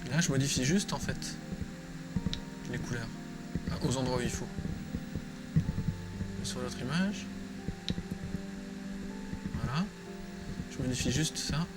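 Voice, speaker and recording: A man's voice: mid-range voice, takes it slowly, long pauses, rough recording. A man giving a casual, improvised tutorial, thinking aloud informally as he works. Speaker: drawing tutorial presenter. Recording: music playing behind the voice. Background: loud music.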